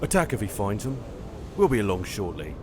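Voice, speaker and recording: Brief: male narrator as stern villainous fantasy admiral, low register, gruff, commanding, short-tempered, ambience underneath. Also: big pitch swings, a little fast, heavy background noise. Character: stern, impatient male admiral of a dark army. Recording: clear ambience behind voice.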